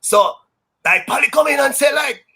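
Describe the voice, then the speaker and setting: A Jamaican man who works as a sound system DJ, telling stories in a loud, high-energy, boastful way that is full of patois. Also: expressive, moderate pace, vocal fry. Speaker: sound system DJ, interview guest. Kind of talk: casual storytelling interview.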